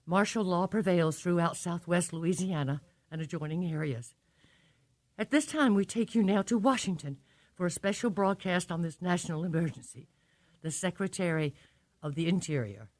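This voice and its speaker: A man's voice: high voice, moderate pace, no room sound, close to an everyday voice. A man plays a grave news announcer in a radio play. He is formal and portentous, delivering scripted emergency bulletins. The sound is dry, with no room around the voice. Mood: grave, urgent.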